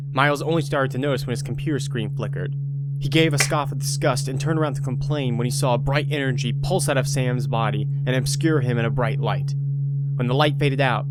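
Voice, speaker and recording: A man reading scripted story narration as the narrator of an audio drama. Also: medium pitch.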